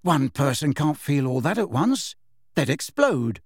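Man doing an excitable, blunt teenage boy. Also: fairly high pitch, unhurried, no echo, clean studio sound. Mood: indignant. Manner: blunt, indignant.

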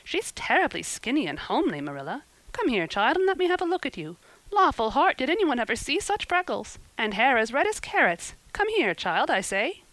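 A woman's voice: mid-range voice, big pitch swings, fairly quick, fairly bright tone, no echo, low-quality recording. A woman voicing a blunt, easily offended village lady in a storybook, outspoken and emphatic. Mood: emphatic, critical.

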